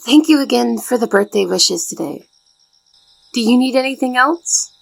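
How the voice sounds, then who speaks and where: A woman playing a shy, devoted young maid in a soft ASMR roleplay, speaking politely and formally with flustered hesitation in a soft, breathy voice. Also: fairly high pitch, animated, normal speed, exaggerated voice, low-quality recording. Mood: gentle, polite.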